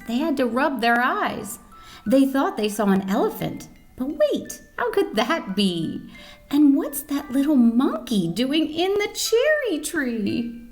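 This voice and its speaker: Woman, children's storyteller, expressive read-aloud, creaky voice, background music. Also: fairly high pitch. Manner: expressive, dramatic reading with questions to young listeners. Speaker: volunteer storyteller.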